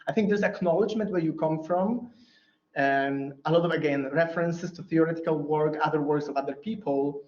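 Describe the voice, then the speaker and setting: A man's voice: fairly high pitch, a little fast, darkish tone. A man whose first language is Polish answers audience questions after a keynote lecture, thinking aloud with many fillers and restarts. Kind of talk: academic Q&A answer. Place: lecture hall. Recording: roomy, dull lecture hall audio.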